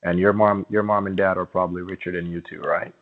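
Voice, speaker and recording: A man on a phone call, talking in a coaxing, agreeable sales manner with hesitant pauses. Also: sits low, level tone. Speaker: phone caller pitching a sweepstakes prize. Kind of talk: conversational phone sales talk. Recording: muffled, narrow-band phone call.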